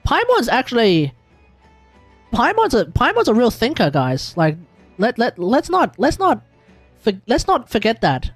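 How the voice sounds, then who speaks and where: A man with an Australian accent giving casual, excitable, joking live commentary on a game, repeating himself for emphasis. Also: very high-pitched, unhurried, breathy edge, no echo, faint music behind. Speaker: streamer.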